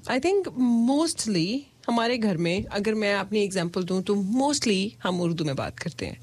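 A rapid, husky-voiced woman in middle age leads a relaxed family conversation. She jumps quickly between English, Hindi-Urdu and Punjabi, laughing often as she goes. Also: medium pitch, no echo. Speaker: host. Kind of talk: casual family chat about home languages.

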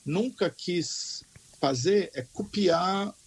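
A Brazilian man, a teacher, speaking with a Brazilian Portuguese accent in casual conversation, earnest and reflective, with halting restarts. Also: high-pitched, gravelly, breathy edge.

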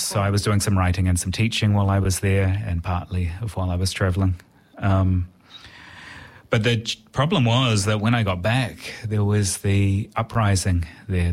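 A man with a New Zealand accent, an interviewed writer talking reflectively in a conversational style. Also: on the low side.

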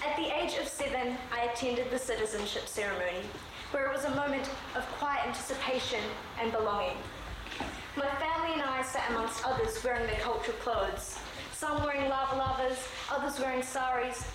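A young woman from Sri Lanka raised in New Zealand, delivering a prepared, earnest speech at a measured pace. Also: high voice. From born in Sri Lanka, raised in New Zealand. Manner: measured, earnest delivery with slowed emphatic phrases. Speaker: student speaker. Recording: very echoey room with background noise.

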